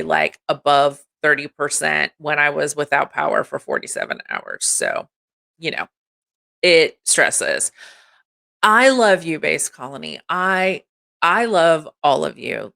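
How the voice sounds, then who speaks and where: Deep husky-voiced woman streamer. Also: bright, forceful tone. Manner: chatty, swears casually, thanks viewers by name. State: congested from allergies. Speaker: streamer host. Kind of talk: casual livestream chat.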